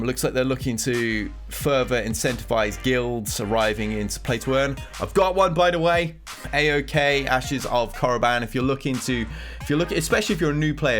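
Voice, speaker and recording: A man whose voice is stuffed up and nasal from a cold rambles through casual commentary on gaming news. He sits at a middling pitch and thinks aloud as he goes. Soft guitar music plays faintly underneath. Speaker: gaming content creator.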